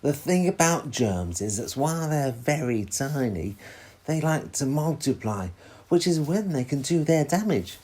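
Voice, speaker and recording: A man playing a patient, reassuring father who explains things in a children's storybook, with a husky voice. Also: fairly high pitch, animated, a little slow, mellow. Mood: patient, explaining. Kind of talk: read-aloud children's storybook dialogue.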